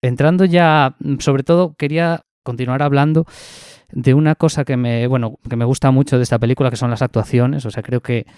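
A man's voice: medium pitch, fairly even intonation, fairly quick, a little mellow, clean tone, no room sound, clean studio sound. A young man talking through a film review, thoughtful and full of fillers and restarts.

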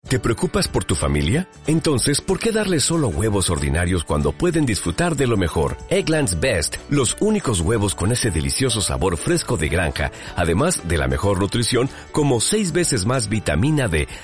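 A man's voice: on the low side, huge pitch swings, moderate pace, hardly any pauses, soft background music. A man voicing a scripted commercial, upbeat and selling. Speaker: ad announcer.

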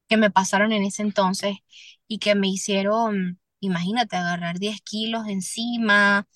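A young Venezuelan woman, a singer-songwriter, speaking candidly as a podcast guest, earnest and halting with restarts. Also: mid-range voice, fairly bright tone, no echo. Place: Miami.

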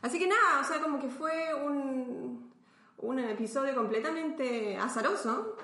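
A woman's voice: high voice, animated, a little slow, bright, forceful tone. A woman from Chile with a Chilean accent, chatting as a podcast guest, thinking aloud and laughing often. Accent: Chilean Spanish. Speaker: podcast guest, philosopher and artist. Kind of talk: casual interview chat. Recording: roomy echo.

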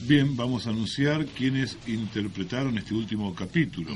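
A man's voice: fairly low pitch, moderate pace, over music. A man with an Argentine accent co-hosting a casual radio show in a wry, tongue-in-cheek manner. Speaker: radio host. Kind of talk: casual co-hosted radio talk. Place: radio studio.

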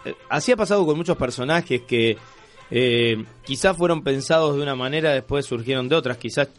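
An Argentine man hosts a relaxed magazine show with music playing under his voice. He talks casually with co-hosts, rambling through explanations and slipping in jokes. His pitch sits in the middle for a man. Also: fairly quick. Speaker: radio show host.

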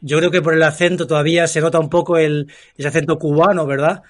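A man's voice: a little high, fairly even intonation, fast. A man co-hosting an interview, asking friendly questions and often ending them with a checking "¿no?". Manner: friendly, asks questions with "¿no?" tags. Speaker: co-host.

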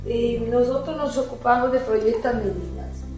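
A middle-aged woman with an Italian accent, giving a chatty, lively conference talk as an architect and putting questions to the audience. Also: fairly high pitch, normal speed. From Italy. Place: lecture hall. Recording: echoey hall with faint music.

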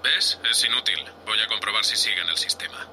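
A man playing an exasperated, sardonic spaceship captain in an audio drama, gruff and scolding. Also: on the low side, a little fast, pushed and bright, slight background noise, exaggerated voice. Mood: irritated. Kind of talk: science fiction audio drama acting.

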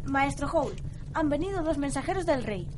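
Bossy young wizard's servant voiced by a woman, high and animated, radio drama over noisy ambience. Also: moderate pace. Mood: dutiful.

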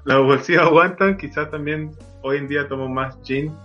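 A man's voice: a little high, fairly even intonation, normal speed. A man from Venezuela, hosting a podcast in a casual interview style. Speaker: podcast host. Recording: dull lo-fi audio, music behind. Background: background music.

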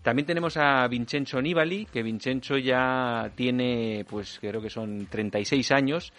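A man, talking cycling news on the radio in a relaxed, joking way. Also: medium pitch, a little slow. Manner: relaxed, jokey. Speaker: cycling commentator. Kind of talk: casual radio chat.

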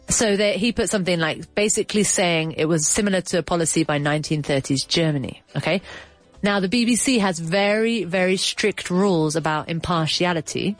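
A woman hosting a casual bilingual chat, explaining English words, chatty and laughing, with a runny nose. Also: sits low. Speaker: podcast host teaching English.

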